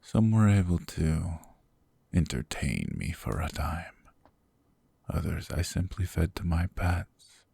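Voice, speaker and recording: A man voicing a cold, sadistic, possessive demon king in an ASMR roleplay, talking slowly and mockingly in gravelly whispers close to the mic. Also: low voice, lively intonation, dark tone, exaggerated voice. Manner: slow, mocking, condescending. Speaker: voice actor.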